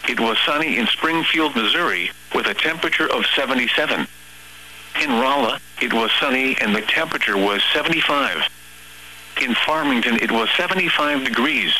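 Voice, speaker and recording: A synthetic male text-to-speech voice reading weather radio forecasts and observations in a measured, formal, impersonal way. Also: medium pitch, animated, bright, forceful tone, poor audio. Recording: weather radio broadcast with hum and static.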